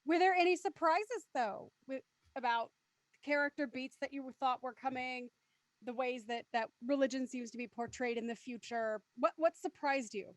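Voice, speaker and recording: High-voiced woman hosting a discussion. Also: normal speed, no room sound. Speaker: host moderating a post-show discussion.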